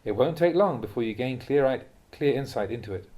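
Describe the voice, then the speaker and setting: A man reads translated Buddhist teachings aloud in a measured, instructive way with clear phrasing. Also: mid-range voice, expressive, warm tone. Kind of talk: audiobook-style reading of spiritual talks.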